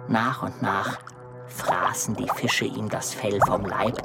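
A man playing an expressive, concerned storyteller who narrates a children's radio play. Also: on the low side, slow pace, creaky, music in the background. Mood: dramatic storytelling.

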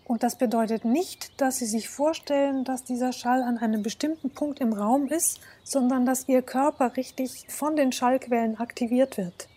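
Woman, fairly high pitch, mellow soft-edged tone, reflective interview talk, lecturer. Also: husky.

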